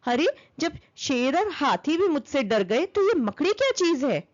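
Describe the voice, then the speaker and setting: Woman voicing a high, boastful little female fly. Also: sing-song, a little slow, dull audio. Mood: indignant, boastful. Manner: bragging, taunting.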